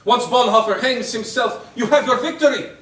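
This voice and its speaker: A man's voice: very high-pitched, moderate pace, a bit bright. A man acting a scheming, cynical Italian fascist in a stage play, arguing in a persuasive, theatrical way. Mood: urgent. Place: indoor theatre stage, recorded from a distance. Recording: echoey stage, distant mic.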